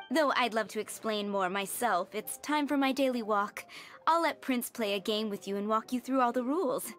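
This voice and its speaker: An easygoing female tavern keeper in a game cutscene. Her voice sits fairly high, with a cheerful, coaxing and casual delivery over music. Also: fairly bright tone. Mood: breezy. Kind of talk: video game cutscene acting.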